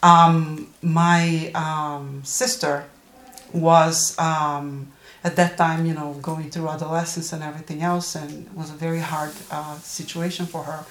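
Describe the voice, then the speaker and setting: A woman in her early sixties with a Brazilian accent, giving personal testimony as an interview guest, haltingly searching for words. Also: low voice, level tone. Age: early 60s. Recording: roomy, echoing interview recording.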